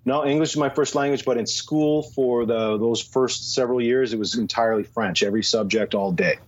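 A man's voice: mid-range voice, fairly quick. A man from Canada, a podcast guest, chatting casually and self-deprecatingly, laughing at his own tangents. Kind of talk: casual chat. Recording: somewhat dull lo-fi sound.

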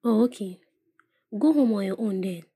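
A woman acting a sharp-tongued young woman in love in an audio play, with a Ghanaian accent. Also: mid-range voice, lively intonation, moderate pace, husky, no echo, studio quality. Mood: playful. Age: young adult. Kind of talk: dramatic dialogue in an audio play.

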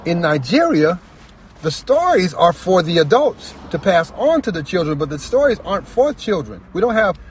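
A man's voice: sits high, big pitch swings, moderate pace, slight background noise. A man speaking as a writer and storyteller in earnest, reflective personal talk, repeating phrases for emphasis.

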